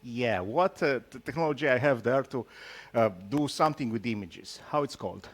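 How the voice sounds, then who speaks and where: A man from Romania with a Romanian accent gives an informal tech talk, speaking haltingly and teasing his audience with jokes. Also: mid-range voice. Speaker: conference speaker, solution architect.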